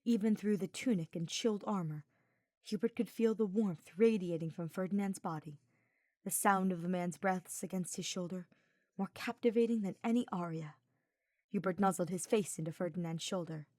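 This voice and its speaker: A woman's voice: on the low side, moderate pace, a little mellow, no room sound, studio quality. A woman reading scripted fiction as the narrator of an audio story.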